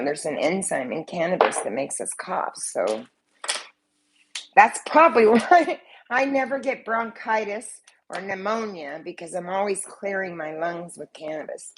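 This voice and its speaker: A woman's voice: on the low side, a little hiss or hum. A woman with a slight cold chatting casually at home, rambling and swearing freely. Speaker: vlogger, soap maker. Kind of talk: casual chat to camera. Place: at home, living room in view.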